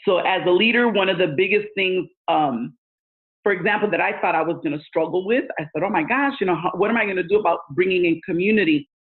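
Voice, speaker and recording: A woman's voice: fairly low pitch, quick pace. A woman in her forties whose first language is Spanish, earnestly answering interview questions with frequent fillers. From United States. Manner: earnest, thoughtful, many fillers. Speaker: guest, education leader. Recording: tinny phone line.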